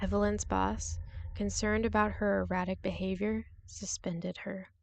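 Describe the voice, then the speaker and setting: A woman narrating a scripted suspense story in a measured, deliberate way, with short dramatic pauses. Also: mid-range voice, flat delivery, gravelly, slight background noise.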